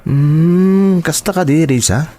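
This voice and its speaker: A man's voice: a little high, slow, dark tone. A man with a Filipino accent playing an earnest, reassuring grown son in an audio drama. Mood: eager.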